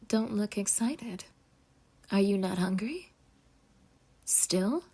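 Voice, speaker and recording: A woman playing a sweetly possessive, obsessed girlfriend in an intimate roleplay audio, coaxing and dramatic with breathy asides. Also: medium pitch, a little mellow, exaggerated voice. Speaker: ASMR voice actor.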